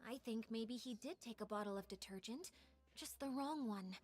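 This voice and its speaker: A woman's voice: a little high, moderate pace, lightly acted. A woman voicing a polite, composed young female investigator with an analytical manner in a video game. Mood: considering.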